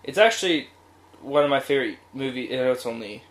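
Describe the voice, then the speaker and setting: A man's voice: medium pitch, expressive, fast. A man co-hosting an informal chat, giving his opinions in slangy, everyday language. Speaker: co-host. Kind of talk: casual movie chat. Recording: roomy echo, background noise.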